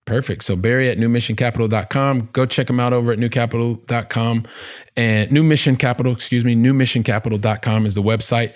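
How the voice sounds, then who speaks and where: A man hosting a podcast in a conversational interview style, with wordy, halting phrasing and catchphrases. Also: medium pitch, steady tone. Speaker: podcast host.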